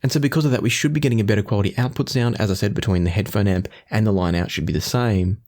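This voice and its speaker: A man presents a product review in a slightly low voice. He moves along at a quick clip, laying out details in an orderly, explanatory way. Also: a little mellow. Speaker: audio gear reviewer, host.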